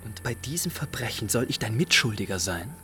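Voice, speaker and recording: An actor playing a nervous, weak-willed manservant, agitated and theatrical, in classic radio drama style. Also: male voice, fairly low pitch, animated, brisk, bright, forceful tone, faint music behind. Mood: horrified, despairing. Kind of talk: 1950s German radio drama acting. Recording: faintly noisy old radio recording.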